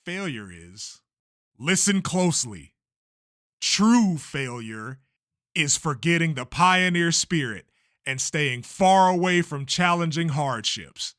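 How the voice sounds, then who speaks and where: Man acting bright, grandiose race promoter. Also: on the high side, lively intonation, no echo, clean studio sound. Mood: rousing, grandiose. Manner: grandiose, declamatory, deliberate. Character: showy, unflappable male race promoter at a press conference. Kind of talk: amateur anime-style manga dub.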